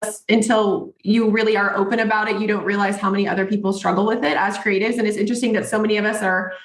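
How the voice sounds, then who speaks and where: A woman's voice: mid-range voice, little pitch movement, fast talker, roomy sound. A woman guest talking earnestly and at length in casual chat.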